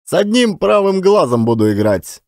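A man's voice: high-pitched, big pitch swings, normal speed, a little mellow, dry recording, clean studio sound, voice pushed up. A man voicing a cocky, idle young billiard braggart, taunting and boastful, in an audiobook. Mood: bragging. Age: young adult.

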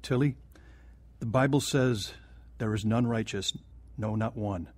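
An actor playing a kindly, earnest pastor counseling from scripture in a radio drama. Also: male voice, fairly low pitch, unhurried, darkish tone, dry recording. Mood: earnest, instructive.

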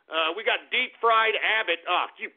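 A man hosting an internet talk radio show, ranting in a loud, profane, exasperated way, with sudden shouted outbursts. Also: high voice, moderate pace. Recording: tinny phone-like lo-fi audio.

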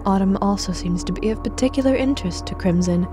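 A woman playing a composed, coolly polite figure in an audio drama, speaking in a measured way. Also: medium pitch, over music, lightly acted. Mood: musing.

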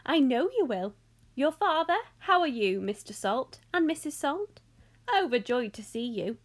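A woman voicing an effusive, excitable old male factory owner in a high, fluty voice for a storybook. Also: a little slow, full character voice. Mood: effusive delight. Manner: gushing, exclamatory, polite.

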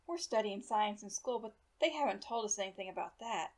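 A woman's voice: medium pitch, lively intonation, a little fast, darkish tone. Woman playing a shy, earnest schoolboy. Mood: unsure. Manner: brief, hesitant, earnest answers. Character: shy, troubled, plain-spoken schoolboy.

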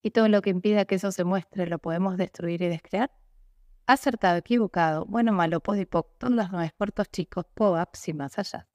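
A young woman reciting set clearing phrases in a steady, rhythmic voice-over. Her pitch sits mid-range for a woman, and the recording is close and dry. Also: no room sound. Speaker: facilitator. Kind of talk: repetitive clearing statements.

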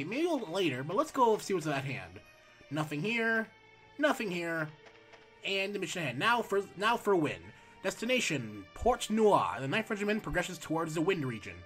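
A man hosting a let's play, reading game text aloud and chatting casually while his voice is going hoarse. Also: high-pitched. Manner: reads on-screen text aloud, relaxed asides. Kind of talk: casual gameplay commentary.

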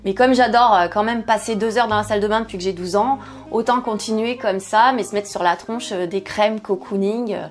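A woman's voice: mid-range voice, a little fast. A woman in her forties speaks to camera from a home kitchen set, chatty and self-mocking, with plenty of hesitations. A faint bed of music sits behind her voice. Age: 40s. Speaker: skincare YouTuber. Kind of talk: candid personal vlog. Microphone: fixed camera, seated at medium distance.

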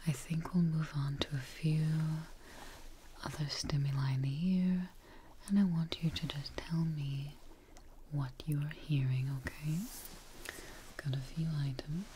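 A young woman whispering softly and soothingly, close to the mic, in an ASMR roleplay as a calm, eerie scientist. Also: sits low. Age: young adult. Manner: soft, slow, soothing, hushed close-up whispering. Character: calm, eerie female creature-maker scientist tending her experiment. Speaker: ASMR performer. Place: small dark indoor setup. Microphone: close microphone. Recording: close whisper with clear noise and clicks.

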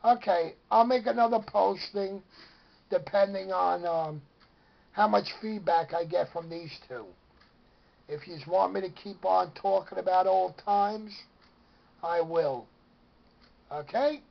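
A man's voice: high-pitched, slow pace, vocal fry, pauses often. An older man reminiscing casually in a rambling, storytelling way, recorded indoors on a camera microphone with dull sound. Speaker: vlogger. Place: small room indoors, in an armchair.

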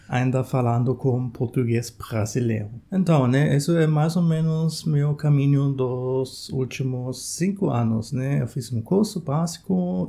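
German-accented young man, gravelly and mellow. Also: mid-range voice. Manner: halting learner's Portuguese with many restarts. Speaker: vlogger. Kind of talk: casual talk to camera. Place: small room indoors.

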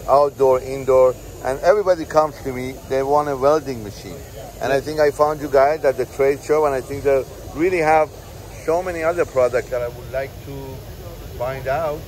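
Man, trade show host, halting casual talk, faint hall noise, handheld camera. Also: medium pitch, darkish tone. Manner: halting, searching for words. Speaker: tool company owner and host.

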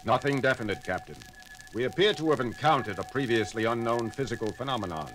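An actor playing a calm, logical male science officer, speaking in a formal, precise, deadpan way in a 1970s audio drama with loud music underneath. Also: medium pitch, fast, close to an everyday voice, poor audio. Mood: measured.

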